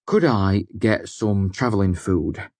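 A man's voice: lowish voice, fairly even intonation, no echo, pitched above the performer's own voice, lightly acted. A man voicing an eager teenage apprentice boy. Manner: earnest, pleading, quick to bristle. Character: teenage male smith's apprentice, eager, defensive.

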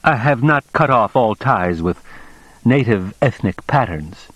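A man reading a novel aloud, voicing a formal, measured middle-aged Japanese official who speaks with precise, deliberate courtesy. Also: medium pitch, lively intonation, fairly bright tone, no echo, pitched above the performer's own voice, low-quality recording.

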